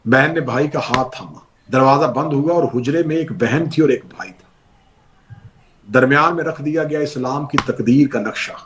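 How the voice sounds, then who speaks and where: A man's voice: mid-range voice, normal speed, a bit bright. Mournful declamatory male preacher. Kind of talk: emotional religious sermon narration.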